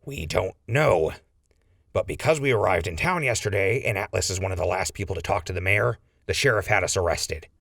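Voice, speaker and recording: A man playing a wry, scheming ghoul who leads a crew, smooth-talking and gravelly, in a post-apocalyptic audio drama. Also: low-pitched, fairly quick, fairly bright tone, dry recording, clean studio sound, heavily acted. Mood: exasperated.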